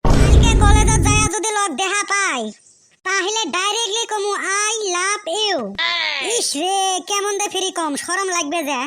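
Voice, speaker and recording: A man voicing a brash, lovesick village college boy in a comic cartoon, with a Lower Assam rural accent and a pitched-up effect. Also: fairly even intonation, unhurried, noisy background. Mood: lovesick, nervous. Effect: pitched-up chipmunk-like voice. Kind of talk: comic meme cartoon dialogue.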